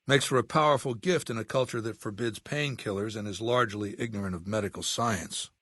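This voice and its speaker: Commanding warlord dictator, older, lowish pitch, dry studio sound, deliberate, contemptuous, ruthless, game dialogue. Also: male voice, a bit bright, no echo. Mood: measured, explanatory. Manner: imperious, deliberate, coldly sardonic. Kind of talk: video game character dialogue.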